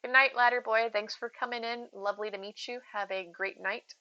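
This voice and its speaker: A woman with a soothing, bright voice chats to her viewers from a home streaming setup. She rambles loosely, giggles easily and calls people in her chat by name. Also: a little high, fairly even intonation, brisk, muffled recording. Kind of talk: casual live-stream chat.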